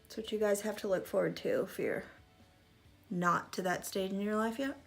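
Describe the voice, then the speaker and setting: A mid-thirties woman talks through her makeup routine in a chatty, self-deprecating way, full of little asides. Her medium-pitched voice carries a gravelly fry. She sits close to a fixed camera in a small room. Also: steady tone, normal speed, faint music behind. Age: 30s. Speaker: makeup vlogger. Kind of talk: casual makeup tutorial chat. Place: small room in front of a gray curtain. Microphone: fixed camera at arm's length.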